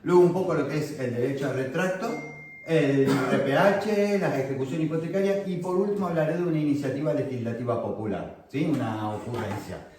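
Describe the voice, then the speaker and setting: A man's voice: on the high side, a little fast, darkish tone, a little hiss or hum. A man from Argentina with an Argentine accent, giving a friendly, conversational talk to an audience as guest speaker. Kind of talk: informal lecture to an audience. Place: meeting hall. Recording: very echoey hall, distant mic.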